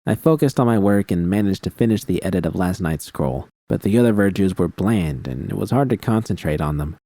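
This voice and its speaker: Male audiobook narrator for first-person fiction, low and dark in tone, scripted read. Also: lively intonation, brisk, no room sound, clean studio sound.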